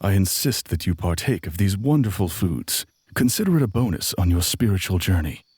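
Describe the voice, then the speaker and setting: Man acting a deep, dark-voiced worldly traveler. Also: big pitch swings, breathy edge, no room sound, studio quality, heavily acted. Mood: genial, persuasive. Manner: formal, measured phrasing. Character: worldly, genial male traveling companion, fond of food. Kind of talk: fantasy audio drama acting.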